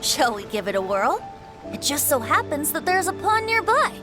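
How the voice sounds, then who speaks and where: A breezy boy bard from a video game, with a high, lilting voice. The delivery is light and playful, set over prominent music. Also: big pitch swings, moderate pace.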